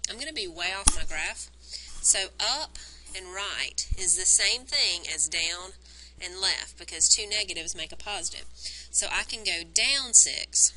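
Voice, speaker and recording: A math teacher with a bright, sharp tone talks through each graphing step and counts the points aloud. Her voice is strained, close to giving out. The phone recording carries a faint hum of room noise. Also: lowish voice, low-quality recording. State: strained, nearly losing her voice. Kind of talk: recorded math lesson, working problems on a graph.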